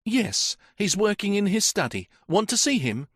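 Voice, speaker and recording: A man's voice: sits high, big pitch swings, moderate pace, husky, no room sound, clean studio sound, pitched above the performer's own voice, exaggerated voice. A man voicing an elderly, chatty woman housekeeper who gossips freely about the family she works for. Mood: gossipy. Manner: gossipy, talkative.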